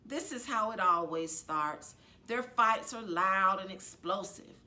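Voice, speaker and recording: A woman reading a children's novel aloud as a librarian would, in an expressive, dramatic storytelling style with deliberate phrasing. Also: mid-range voice.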